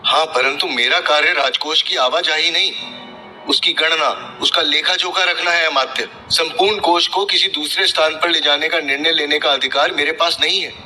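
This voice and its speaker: A man's voice: on the high side, fairly even intonation, moderate pace. A man playing a deferential, anxious royal treasurer in a historical drama, speaking formal Hindi with a humble, hesitant manner. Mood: cautious, reluctant. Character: deferential, anxious, rule-abiding royal treasurer of ancient Magadha. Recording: loud dramatic music behind.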